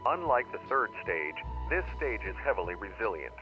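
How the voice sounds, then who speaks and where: Man as a low, muffled emergency tape narrator. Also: sing-song. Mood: grave, measured. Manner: measured, formal, solemn. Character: grave male narrator of an emergency survival tape. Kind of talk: scripted instructional narration, analog horror. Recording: muffled old tape, music underneath. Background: music.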